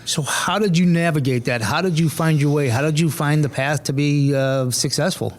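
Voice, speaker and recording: A man interviews a guest as a school superintendent, building long questions that stall and restart on "um" and "uh." His voice sits a little higher than most men's. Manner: halting, restarting questions with many "um" and "uh" fillers. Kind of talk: conversational interview hosting.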